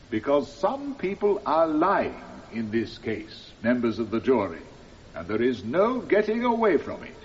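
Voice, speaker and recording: An actor playing a solemn, formal judge addressing a jury with measured authority in a radio drama. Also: male voice, high-pitched, darkish tone, full character voice. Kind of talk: radio drama courtroom speech. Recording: muffled, hissy radio drama recording.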